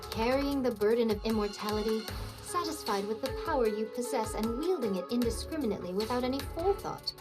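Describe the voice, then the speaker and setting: A woman's voice: a little high, lively intonation, fast, over loud music, lightly acted. A woman playing a haughty swordswoman in a video game, pompous and lecturing in archaic speech. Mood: weary disdain. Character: haughty, archaic-speaking swordswoman, disdainful and preachy.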